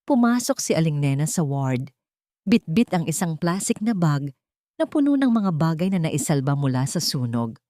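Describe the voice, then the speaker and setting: A woman's voice: deep voice, big pitch swings, normal speed, warm tone, no echo, clean studio sound. A woman narrating a scripted story in a dramatic storytelling style.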